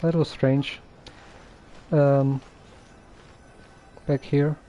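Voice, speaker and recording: Slow, mellow male game streamer. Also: medium pitch, flat delivery. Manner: hesitant, musing, puzzled aloud. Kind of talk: casual game commentary.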